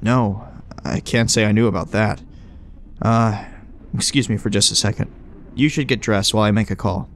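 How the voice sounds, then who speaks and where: A man's voice: fairly low pitch, normal speed, a little hiss or hum, lightly acted. A man playing a smug, casual agent who explains things glibly in audio drama dialogue. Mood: measured, probing. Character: breezy, smug male agent who knows hidden things.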